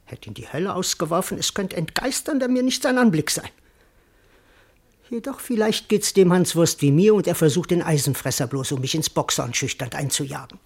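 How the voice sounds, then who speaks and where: An actor playing a cowardly, boastful, cheeky servant in a classical verse radio play, declaiming in a wordy, comically self-important way. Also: male voice, fairly high pitch, fairly quick, breathy edge. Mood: frightened, wary.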